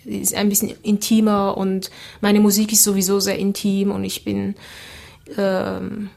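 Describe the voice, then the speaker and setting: Woman, flat even speech. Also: mid-range voice, measured pace, gravelly. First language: Creole. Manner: relaxed, hesitant with many fillers. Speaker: singer guest. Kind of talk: casual interview answers.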